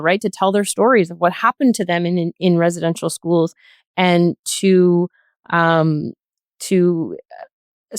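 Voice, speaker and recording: Female panel guest, middle register, thoughtful halting delivery, dry recording. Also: medium pitch, no room sound. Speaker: podcast guest. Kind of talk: thoughtful discussion.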